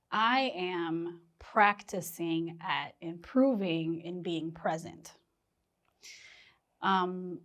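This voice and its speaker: Reflective woman in a casual podcast chat. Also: lowish voice. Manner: reflective, thinks aloud with pauses. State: losing her voice. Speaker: podcast co-host.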